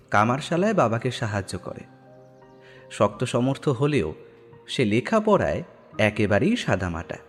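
A man narrating a scripted audio story in a dramatic style. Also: fairly high pitch. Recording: long studio reverb, background music.

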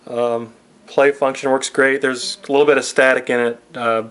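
A man giving a casual, unscripted product demonstration in a halting way, pausing often as he goes. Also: medium pitch, flat delivery, a bit bright. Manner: hesitant, halting delivery with frequent pauses. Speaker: online seller demonstrating equipment.

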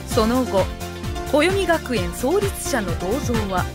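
A woman narrating a scripted anime comedy audio drama, with deadpan asides. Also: on the high side, takes it slowly, over loud music.

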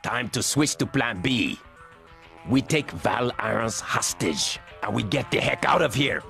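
A man playing a menacing, smug crime boss in a video game, slow and mock-polite as he threatens. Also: mid-range voice, lively intonation, vocal fry, sharp tone, faint music behind, heavily acted. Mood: cold threat. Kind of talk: video game cutscene acting.

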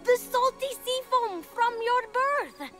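Young game heroine: earnest, awkward, excitable, very lively intonation, music underneath. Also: female voice, very high voice, slow, full character voice. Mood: eager. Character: eager, awkward young heroine, a starstruck mortal woman. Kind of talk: video game character acting.

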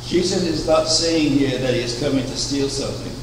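An older man preaching a sermon from a pulpit, measured and anecdotal, asking rhetorical questions. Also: mid-range voice. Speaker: preacher, founder of a religious order. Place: chapel, at a wooden pulpit. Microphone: far camera. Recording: echoey chapel from far off, air conditioning hum.

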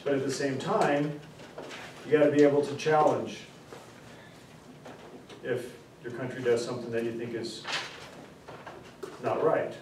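A man in his forties teaching a class, explaining terms through repeated examples and stressing key words slowly. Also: medium pitch, little pitch movement, a little mellow, a little hiss or hum. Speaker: instructor. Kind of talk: classroom lecture. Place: classroom, indoors. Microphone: far camera. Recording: echoey classroom from far off.

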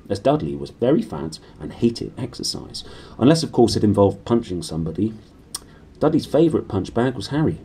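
Animated, mellow-voiced British man reading aloud. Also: fairly low pitch, husky. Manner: expressive. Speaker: reader. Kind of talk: brisk storybook reading to camera. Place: small decorated room indoors.

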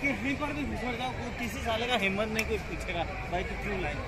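Young man, high voice, casual walking vlog, informal and repetitive, noisy outdoor recording. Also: a little fast. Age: young adult. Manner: informal, repetitive, addressing viewers as brother. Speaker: travel vlogger. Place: outdoors in a busy amusement park. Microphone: phone or camera at arm's length. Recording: noisy outdoor crowd and vehicle din. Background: crowd chatter, outdoor ambience.

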